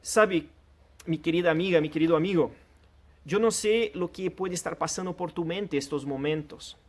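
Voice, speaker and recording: A man's voice: fairly high pitch, moderate pace. A man whose first language is Portuguese, preaching a sermon in an earnest, direct way and addressing the listener personally. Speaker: pastor.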